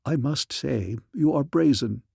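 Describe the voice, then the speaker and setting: A man's voice: mid-range voice, measured pace, creaky, mellow, husky, no room sound, studio quality, full character voice. A man voicing a sly, menacing sorcerer priest in a fantasy story, formal and mock-courteous. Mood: smug. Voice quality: strange foreign accent.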